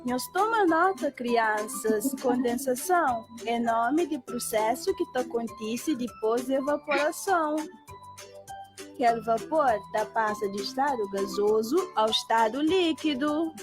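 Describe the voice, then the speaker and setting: A woman plays an encouraging cartoon schoolteacher for young children. Her voice is very high, with a Cape Verdean accent and an instructive manner, over clear background music. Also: expressive, unhurried.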